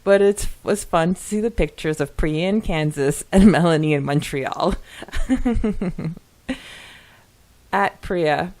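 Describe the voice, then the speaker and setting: A woman's voice: fairly low pitch, takes it slowly, pauses often, darkish tone. A woman co-hosting a podcast, giggly, reading out listener comments in a casual chatty style. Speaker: podcast co-host.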